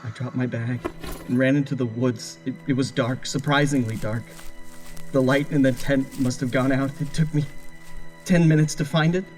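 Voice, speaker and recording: An actor playing a distraught young husband under interrogation in an audio horror drama, halting, stammering and pleading. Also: male voice, on the high side, fairly even intonation, mellow, soft background music, lightly acted, rough recording. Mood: haunted, halting.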